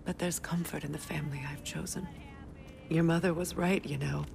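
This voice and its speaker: An actress playing a solemn, tender warrior goddess in a video game, hushed and measured. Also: female voice, sits low, fairly even intonation, creaky, over music. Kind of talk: video game dialogue acting.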